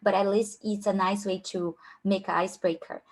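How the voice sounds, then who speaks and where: A woman's voice: medium pitch, flat delivery, moderate pace. Brazilian woman, strong accent, chatty coaching talk, lots of um and restarts. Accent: Brazilian Portuguese. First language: Portuguese. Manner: informal, many fillers and restarts. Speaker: career coach. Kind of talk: online workshop talk.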